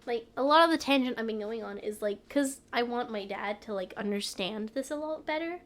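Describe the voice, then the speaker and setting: A woman's voice: on the high side, brisk, fairly bright tone. A young woman with a stuffy nose, chatting casually and rambling on a live stream from home. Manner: rambling, trailing off, frequent laughs.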